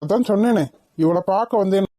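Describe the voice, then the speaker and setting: Woman putting on a sulky young man, curt comebacks, lowered voice, dry. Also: a little fast, warm tone, no echo, lightly acted. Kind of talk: comedy skit.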